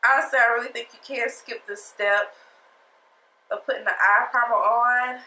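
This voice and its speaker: A woman's voice: on the high side, fairly even intonation, normal speed, bright, forceful tone, roomy sound, low-quality recording. A woman talking through a makeup tutorial in a casual, sassy, chatty way, her nose stuffed up from a cold. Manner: chatty, sassy, talks straight to viewers. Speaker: beauty vlogger.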